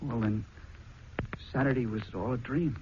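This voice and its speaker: A man's voice: medium pitch, steady tone, normal speed, lo-fi sound, close to an everyday voice. An actor playing a lovesick, impetuous young man in a 1940s radio drama, earnest and pleading. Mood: anguished, insistent. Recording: noisy, band-limited 1940s radio recording.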